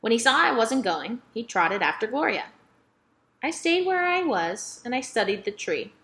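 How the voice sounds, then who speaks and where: A woman's voice: on the high side, big pitch swings, normal speed, fairly bright tone. A woman reading a children's novel aloud as scripted first-person narration. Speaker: storybook reader.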